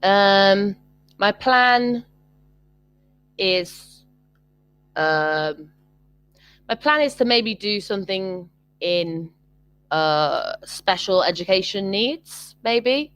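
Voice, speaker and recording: A woman's voice: mid-range voice, expressive, extremely slow, long pauses, fairly bright tone, smooth, no echo. A young British woman tutoring over a video call, chatting casually with frequent ums and restarts. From Britain. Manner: hesitant, many ums and restarts. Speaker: online English tutor.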